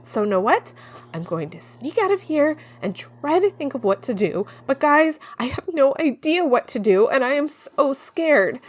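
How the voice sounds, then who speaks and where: A woman playing a frantic, chatty young woman on the run in an audio drama, with a rambling, confiding manner. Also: sits high. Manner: rambling, informal, breathless asides to listeners. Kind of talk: first-person audio drama, confiding podcast monologue.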